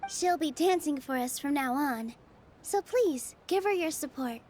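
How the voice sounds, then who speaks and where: A woman voicing a gentle, earnest young theater dancer in a video game, speaking softly and sincerely. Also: sits high, expressive, a little slow, slight background noise. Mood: cheerful. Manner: soft, sincere, wistful storytelling. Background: game music.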